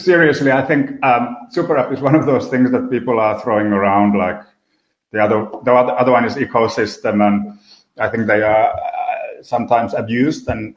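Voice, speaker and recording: A middle-aged man speaking as a panelist, measured with a touch of dry humor. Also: medium pitch. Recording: roomy, dull sound.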